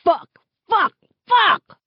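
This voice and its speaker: A woman's voice: deep voice, fairly even intonation, measured pace, no room sound, lo-fi sound. Woman doing a blunt, hurting young man. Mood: anguished. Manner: blunt, slangy, swearing. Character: hurt, hot-tempered young man, protective older brother. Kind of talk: audiobook character acting.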